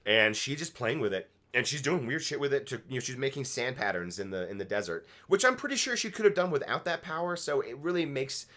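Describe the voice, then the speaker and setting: A man's voice: mid-range voice, very animated, brisk, hardly any pauses, sharp tone. A man casually giving opinionated commentary as co-host of a fan review show, emphatic and swearing freely. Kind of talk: casual opinionated episode discussion.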